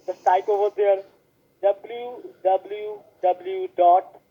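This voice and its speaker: A man's voice: high voice, fairly even intonation. Insistent man on a muffled phone line. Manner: insistent, repetitive, spells letters slowly. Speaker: scam caller posing as support. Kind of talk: scripted sales-style phone pitch.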